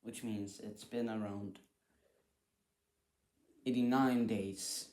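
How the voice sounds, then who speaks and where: A man's voice: on the low side, fairly even intonation, slow, a little mellow, slight background noise. A man giving casual reaction commentary, thinking aloud in a hesitant, repetitive way. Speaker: reaction video host.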